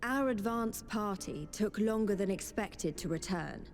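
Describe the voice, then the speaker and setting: A woman playing a composed, matter-of-fact adventurer in a fantasy game, in a measured and serious manner. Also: medium pitch, animated. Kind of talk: fantasy video game voice acting. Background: orchestral game music with strings.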